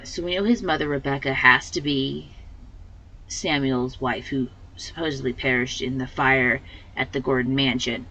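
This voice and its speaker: A woman with a slightly hoarse voice hosting a let's play, giving casual commentary and thinking aloud as she plays. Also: sits low, sharp tone, highs cut off. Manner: rambling, thinking aloud with fillers.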